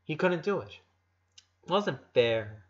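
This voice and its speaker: A man's voice: on the high side, big pitch swings, takes it slowly, husky, muffled recording. A man reading a dramatic story aloud as narrator, emotive, with occasional stumbles.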